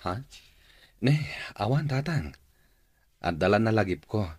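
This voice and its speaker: A young Ilocano fruit seller in a radio drama, low and breathy-rough in a dry studio. He is bashful, lovestruck and respectful, speaking with some hesitation. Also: animated, a little slow, a little mellow, no echo. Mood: wistful.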